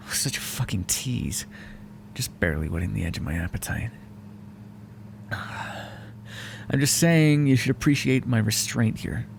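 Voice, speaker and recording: Man playing a sardonic, creaky-voiced male vampire. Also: mid-range voice, lively intonation, takes it slowly, pauses often, slight background noise. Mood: hungry, restrained. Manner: sarcastic, wry, exasperated, conversational. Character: sardonic, foul-mouthed male vampire, grudgingly helpful, hungry. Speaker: roleplay voice actor. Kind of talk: intimate ASMR audio roleplay, addressing the listener.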